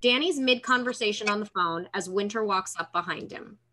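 A woman's voice: medium pitch, a little fast, sharp tone. A young woman narrating scripted stage directions for a table read over a video call. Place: room at home, on a video call.

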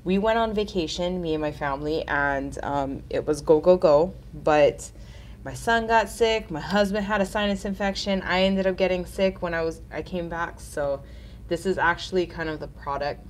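A woman's voice: on the low side, moderate pace, slight background noise. A woman in her thirties hosting a casual live nail art tutorial, chatty and upbeat, sounding nasal from a cold.

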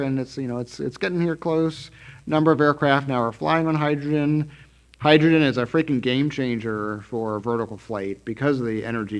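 A middle-aged man giving an unscripted press briefing from slides at a trade expo, halting often with fillers and restarts. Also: mid-range voice. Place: conference room at a trade expo.

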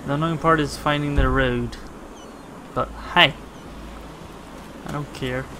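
A man's voice: a little high, fairly even intonation, slow pace, background noise. A man casually commenting on gameplay, thinking aloud with many fillers. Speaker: gaming channel host. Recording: voice over loud game music. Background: game music.

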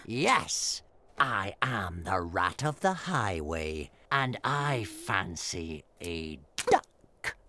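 A woman's voice: extremely low pitch, very animated, pushed and bright, exaggerated voice. Woman voicing a greedy swaggering rat robber. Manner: swaggering, drawn-out storybook reading. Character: greedy boastful male rat highway robber. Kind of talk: picture-book read-aloud.

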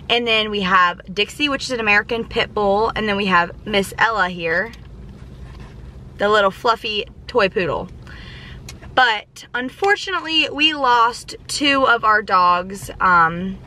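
Young woman, twenty-one, casual vlog chat, bright voice, slightly high, parked car, faint car hum. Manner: bubbly, chatty, lots of fillers. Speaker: vlogger. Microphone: camera on the dashboard.

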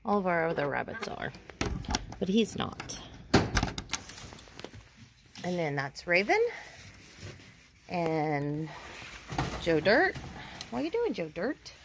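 A woman's voice: lowish voice, sing-song, slow, creaky, long pauses, noisy background. A woman casually narrating a walk around her farm on a phone camera, chatty and cooing sweetly to her animals. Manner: chatty, talks sweetly to animals, unsure of breeds. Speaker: vlogger touring her farm. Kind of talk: casual walking tour narration. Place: outdoors on a farm among animals. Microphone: phone camera held while walking.

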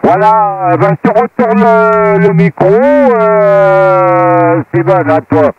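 A man from France talking casually and haltingly as an amateur radio operator, through a two-way radio transmission. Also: high-pitched, lively intonation, no echo. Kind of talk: casual radio equipment report. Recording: muffled dry shortwave radio transmission.

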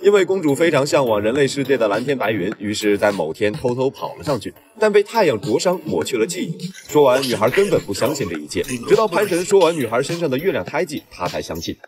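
A man's voice: medium pitch, big pitch swings, fast talker, a crowd in the background. A man narrating a film recap in a scripted storytelling style, as the regular narrator.

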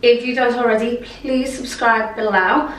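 Young woman, hoarse from a cold, chatty, roomy far-off sound. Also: on the high side, steady tone, normal speed. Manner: chatty, many fillers and likes. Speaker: fashion vlogger. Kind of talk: casual chatty haul. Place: bright bedroom with clothes rail. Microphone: camera mic from across the room. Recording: roomy echo, mic at a distance.

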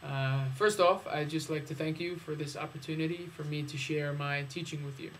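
Higher-voiced man, formal teacher's introduction. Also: steady tone, moderate pace, fairly bright tone, a little hiss or hum. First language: English. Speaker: English teacher. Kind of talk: video introduction of own classes, prepared talk.

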